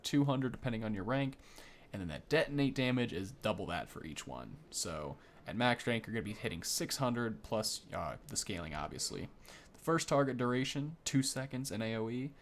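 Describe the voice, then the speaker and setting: A man's voice: medium pitch, slight background noise. Young man doing casual game commentary. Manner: informal, thinking aloud as he tests. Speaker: gaming video host.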